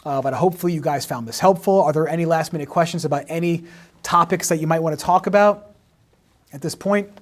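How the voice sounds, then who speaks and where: Male teacher, 40s, slightly hoarse, higher-pitched, patient livestream explainer. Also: quick pace. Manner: explanatory, peppers sentences with "right?". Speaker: history teacher. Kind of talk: livestream exam review lesson. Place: home in the suburbs.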